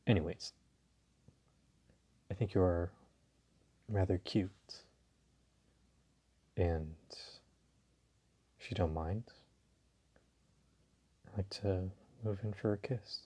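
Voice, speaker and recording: Mafia boss for intimate audio roleplay, played by a man: dark mellow tone, husky, slow, courteous, dominant and flirtatious. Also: heavily acted. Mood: teasing, flirtatious. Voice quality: husky, low. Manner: slow, teasing, courteous, softly chuckling.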